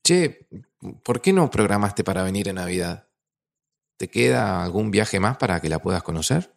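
An actor playing an affectionate, gently skeptical older Argentine friend with a gravelly voice, in naturalistic audio fiction dialogue. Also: male voice, fairly low pitch, expressive, moderate pace. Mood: casual. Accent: Argentine, Rioplatense. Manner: casual, questioning.

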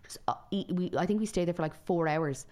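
Young woman, deep voice, chatty, enthusiastic travel tips. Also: fast talker, a little mellow. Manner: chatty, gushing travel recommendations. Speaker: podcast co-host. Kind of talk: casual podcast chat.

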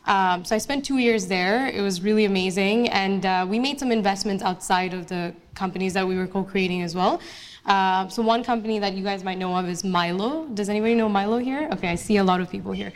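A woman's voice: mid-range voice, a little fast. A young woman from Bangladesh speaking as a guest in an onstage interview, talking casually with many fillers and restarts. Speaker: guest speaker, investment analyst.

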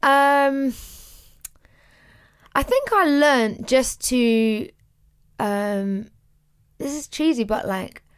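A woman's voice: high-pitched, animated, extremely slow, long pauses, smooth. A woman with a London accent hosting a casual podcast, encouraging and slangy, chatting informally. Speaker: podcast host.

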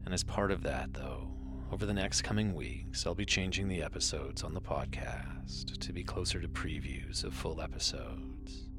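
A man hosting a sleep podcast, speaking softly with some whispered words. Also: low-pitched, moderate pace, few pauses, music in the background. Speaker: podcast host. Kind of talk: soft sleep podcast announcement.

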